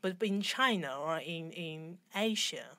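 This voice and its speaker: Low-pitched Hong Kong woman in interview. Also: expressive, bright, forceful tone, no echo. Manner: halting non-native English phrasing. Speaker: interview guest, fashion designer. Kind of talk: conversational interview.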